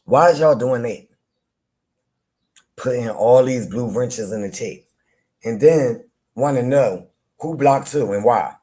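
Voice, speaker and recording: A man with a deep voice hosts a live chat. He talks casually and teases people in loose, playful banter. He laughs often as he goes, and his pitch sits in an ordinary middle range. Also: takes it slowly, pauses often, dark tone. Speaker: live audio-room host. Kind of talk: casual trash-talking chat to listeners.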